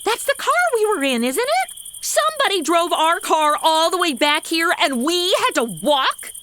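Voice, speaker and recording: A woman's voice: very high-pitched, big pitch swings, moderate pace, bright, forceful tone, a little hiss or hum, full character voice. An actress playing a sassy, exasperated modern young woman with a blunt, snarky manner in a comedy audio drama. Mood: startled, incredulous.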